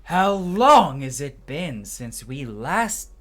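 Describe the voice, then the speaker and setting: Game holy man played by a man: solemn, fanatical, declamatory, big pitch swings, music behind. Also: big pitch swings, slow, a bit bright, heavily acted. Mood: solemn foreboding. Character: zealous, doom-laden holy man in a video game.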